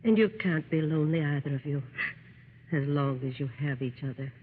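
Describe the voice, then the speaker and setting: An actress playing a gentle, consoling older mother in an old radio drama, with a soft, measured delivery. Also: low-pitched, narrow, tinny audio, close to an everyday voice. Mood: tender consoling. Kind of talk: 1930s-40s radio drama acting. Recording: thin, noisy vintage radio recording.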